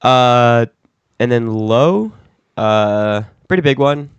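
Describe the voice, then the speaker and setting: A young man co-hosting a casual chat podcast, relaxed and rambling, with a slightly stuffy nose from a cold. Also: medium pitch, takes it slowly, clean tone, no room sound, dull audio. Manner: relaxed, rambling, laughs easily. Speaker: podcast co-host.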